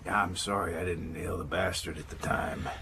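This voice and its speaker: An actor playing a forgetful, apologetic old retired detective, halting and gravelly, in a radio drama. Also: male voice, deep voice, fairly even intonation. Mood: apologetic, hesitant. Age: older. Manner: halting, hesitant. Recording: noisy ambient background.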